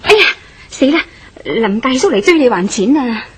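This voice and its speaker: A woman's voice: a little high, big pitch swings, normal speed, husky, full character voice. A woman playing a pushy, poor companion in a radio drama, exclaiming and goading in Hong Kong Cantonese. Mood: alarmed.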